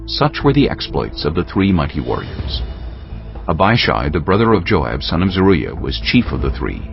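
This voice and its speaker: A man narrating dramatized scripture as a solemn, measured storyteller. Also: rumbling low, wildly swooping pitch, creaky, muffled recording, faint music behind.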